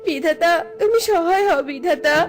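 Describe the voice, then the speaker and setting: High, plaintive village mother played by a woman. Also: moderate pace, music in the background. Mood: anguished. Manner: plaintive, melodramatic. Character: distressed, pleading young village wife and mother. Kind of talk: Bengali cartoon dubbing.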